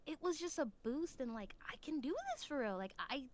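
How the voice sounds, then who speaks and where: A woman giving a casual interview as a voice actor, telling stories in an animated, giggly way. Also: sits high, a little fast, a little hiss or hum. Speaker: voice actor, podcast guest.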